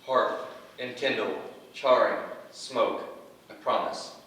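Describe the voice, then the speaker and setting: A man's voice: mid-range voice, slow pace, sharp tone. A man playing an eager, cocky fire herald in a staged play reading, with a brash, casual manner. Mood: resolute. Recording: very echoey room, far from mic.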